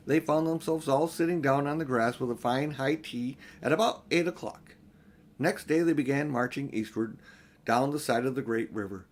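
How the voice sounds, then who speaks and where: An older man reads a children's story aloud in an expressive, unhurried, homely way, with informal asides. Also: medium pitch. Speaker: audiobook reader.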